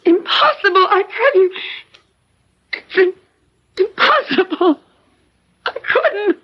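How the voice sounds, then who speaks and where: Frantic young wife in old radio drama, really high voice, pleading and repeating herself, muffled sound. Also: female voice, lively intonation, takes it slowly, exaggerated voice. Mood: stunned, desperate. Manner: pleading, repeats words, emotional. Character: distraught young newlywed wife, pleading, insisting husband was murdered. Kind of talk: 1970s radio drama acting. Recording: dull old radio broadcast recording.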